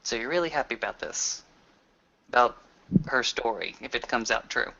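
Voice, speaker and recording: A man's voice: medium pitch, animated, normal speed, gravelly, bright, forceful tone, husky. A man roleplaying as a player in a tabletop session, hesitant and thinking aloud as he goes. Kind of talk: improvised in-character roleplay. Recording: dull lo-fi recording.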